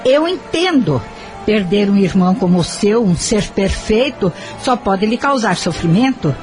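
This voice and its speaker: A woman's voice: mid-range voice, animated, unhurried, darkish tone, soft background music, lightly acted. Woman acting a harsh Brazilian mother, swooping intonation. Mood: bitter, scolding. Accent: Brazilian Portuguese. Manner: harsh, scolding. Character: harsh, grieving, self-absorbed mother. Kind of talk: Brazilian audio drama acting.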